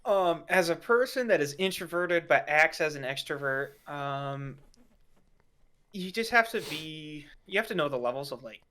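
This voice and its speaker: A man's voice: fairly high pitch, expressive, moderate pace, bright, forceful tone. A man chatting casually as a guest on a podcast. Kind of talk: casual chat.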